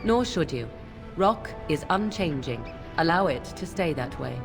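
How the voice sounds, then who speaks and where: A woman's voice: sits low, lively intonation, measured pace, music in the background. An actress playing a haughty, mocking swamp witch in a video game, with archaic, teasing phrasing. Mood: condescending. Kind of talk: video game character acting.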